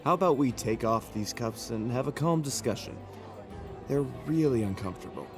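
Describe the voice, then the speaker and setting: A sly, flippant male captive played by a man talks smoothly and theatrically in a mid-pitched voice. Music plays clearly underneath, like an anime audio drama. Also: expressive, moderate pace, natural voice. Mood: smug. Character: smug, sly male prisoner bargaining with intel. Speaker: voice actor.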